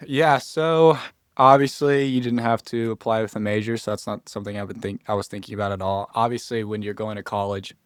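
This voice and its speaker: A man's voice: fairly low pitch, steady tone, fairly quick, no room sound, studio quality. A young man from Florida, a college freshman guest on a podcast, talking earnestly and thinking aloud. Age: young adult. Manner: earnest, thinks aloud with restarts and quoted asides. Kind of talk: casual interview chat.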